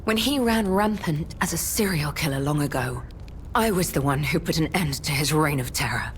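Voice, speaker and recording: Game cutscene role: battle-worn woman mentor, guilt-ridden yet resolute, measured and formal, lowish pitch. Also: lively intonation, a little hiss or hum. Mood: bitter resolve. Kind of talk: dramatic video game cutscene acting.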